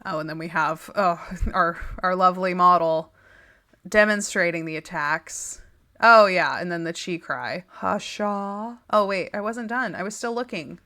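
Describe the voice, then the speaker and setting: Young female gaming host; slightly raspy, lowish and bright, chatty and wry commentary over gameplay. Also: measured pace. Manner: chatty and wry, laughs often, reads text then jokes about it. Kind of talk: casual gameplay commentary reading in-game text aloud.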